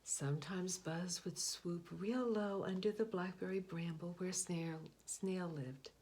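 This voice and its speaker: A woman reading a picture book aloud, expressive, often dropping to a hushed whisper. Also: lowish voice. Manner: expressive, slow, hushed asides. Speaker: storybook reader.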